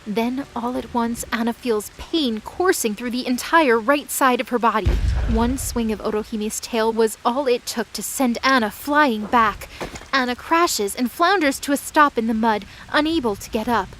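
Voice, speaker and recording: A woman's voice: on the high side, moderate pace, a bit bright, slight background noise, rough recording. A woman reading scripted, dramatic story narration as the narrator of a fantasy drama.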